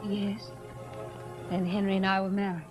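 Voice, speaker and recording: An actress playing an anxious, earnest wife in an old-time radio horror drama. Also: female voice, mid-range voice, level tone, moderate pace, over music, close to an everyday voice. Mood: despairing. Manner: earnest, fretful. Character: anxious, pleading young wife of doomed man.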